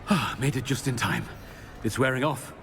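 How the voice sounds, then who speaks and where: An actor playing a flustered impostor posing as a bossy wizard master in a video game, pompous. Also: male voice, medium pitch, big pitch swings, normal speed, fairly bright tone, a little hiss or hum. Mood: hurried.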